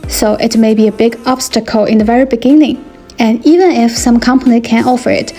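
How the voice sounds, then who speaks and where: A young woman from China, a native Chinese speaker, narrates her vlog in a calm, measured way. Her voice sits a little high but sounds mellow and warm. Soft music plays quietly underneath. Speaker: vlogger.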